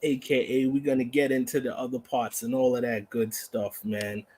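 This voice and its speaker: A man's voice: mid-range voice, little pitch movement, moderate pace, darkish tone, husky. A man hosting a podcast, asking questions in an informal, slangy, chatty way. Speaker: podcast host, interviewer. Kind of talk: casual interview chat.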